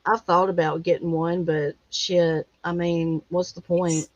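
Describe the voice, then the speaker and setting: A woman's voice: fairly low pitch, level tone, measured pace, no echo, lo-fi sound. A woman hosting a livestream in a casual, informal way, chatting and reading out comments. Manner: informal, reads out chat comments. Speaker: livestream host.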